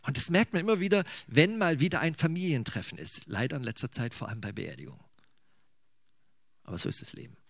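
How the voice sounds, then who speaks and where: A man's voice: mid-range voice, animated, fast talker, vocal fry, dry recording, studio quality. A man from eastern Germany preaching in a conversational, musing way, with casual asides. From Thuringia, eastern Germany. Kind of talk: sermon.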